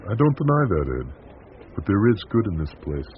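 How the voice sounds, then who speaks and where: An actor playing an earnest, forgiving priest in a 1980s radio drama, calm and sincere in his delivery. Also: lowish voice, a little fast. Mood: earnest, solemn. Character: earnest, forgiving male American priest, steadfast believer. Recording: muffled, noisy old radio broadcast recording.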